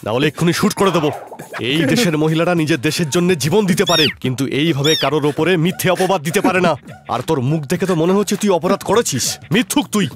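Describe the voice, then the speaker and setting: A man's voice: a little high, quick pace, soft background music, close to an everyday voice. A man playing a commanding police officer who threatens and gives orders. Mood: stern. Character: stern male police officer.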